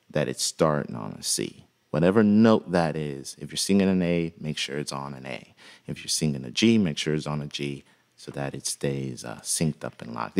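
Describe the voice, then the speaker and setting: Deep-voiced man with a gravelly edge. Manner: relaxed and folksy, with frequent "uh" fillers. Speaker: music production instructor. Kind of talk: casual software tutorial.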